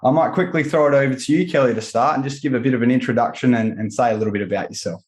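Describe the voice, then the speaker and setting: A man's voice: medium pitch, rapid-fire. A man speaks as a podcast host in a mid-range voice. He moves between prepared introductions and conversational hosting. The recording is roomy and echoing. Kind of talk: scripted intro and roundtable hosting.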